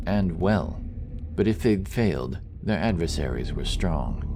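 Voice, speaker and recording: Deep-voiced male audiobook narrator. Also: noisy background. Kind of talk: scripted fantasy audiobook narration.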